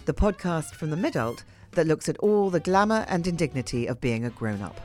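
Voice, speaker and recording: A woman's voice: sits low, animated, brisk, darkish tone, faint music behind. A middle-aged woman co-hosting a podcast, dry and wry, complaining theatrically for effect. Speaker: podcast co-host. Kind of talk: casual chat.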